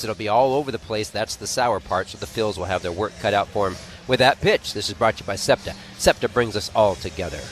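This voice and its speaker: A man's voice: mid-range voice, fairly bright tone. An American man giving color analysis on a baseball radio broadcast in colloquial, punchy short phrases. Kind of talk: unscripted sports commentary. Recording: faint stadium noise on radio broadcast.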